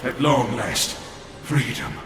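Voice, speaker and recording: A man's voice: medium pitch, slow. An actor playing a weary, relieved male ghost who speaks breathily and drawn out. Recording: echoey game audio with loud music.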